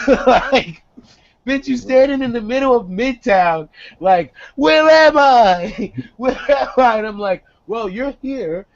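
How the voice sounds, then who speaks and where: A young man, hosting a casual video-call chat, animated and profane, swinging between a low voice and a high one. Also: takes it slowly, gravelly, no room sound, dull audio. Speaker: host. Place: small home room indoors. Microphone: headset on a video call.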